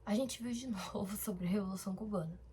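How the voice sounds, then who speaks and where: A young woman chats to camera in a relaxed, rambling way, full of fillers and restarts. Her voice is soft and warm at a middle pitch. A faint hiss sits behind her. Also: fast. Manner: rambling, with fillers and self-corrections. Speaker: vlogger. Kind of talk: casual diary-style chat to camera.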